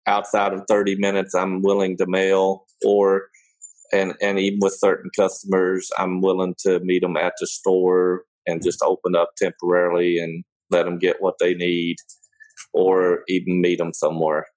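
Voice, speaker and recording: A man's voice: sits low, completely flat delivery, moderate pace, a little mellow, low-quality recording. A middle-aged man from Germany, a small business owner answering interview questions hesitantly. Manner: hesitant, many fillers and restarts.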